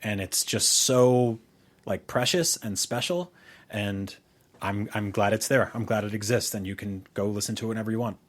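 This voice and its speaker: A man's voice: on the low side, moderate pace. A middle-aged man co-hosting a podcast in casual, rambling conversation, informal and freely profane. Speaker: podcast co-host.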